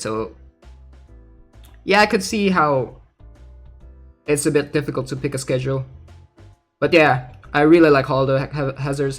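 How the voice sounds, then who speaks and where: A man streaming casually about a game, reading aloud and thinking out loud in a hesitant way with plenty of filler words. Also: a little high. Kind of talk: casual livestream commentary, reading aloud and reacting.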